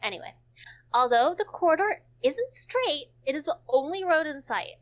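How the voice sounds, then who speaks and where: A woman's voice: high voice, lively intonation, normal speed, dull audio. A woman reading visual novel text aloud for a stream, stumbling now and then and dropping in casual joking asides.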